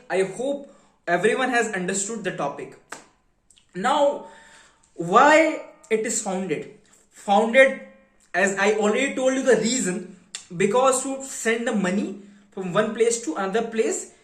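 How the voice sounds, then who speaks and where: A man's voice: sits high, a bit bright. A man with an Indian accent giving an informal explanatory lecture, emphatically posing questions and then answering them. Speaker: educator presenting a finance explainer. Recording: roomy, echoing sound.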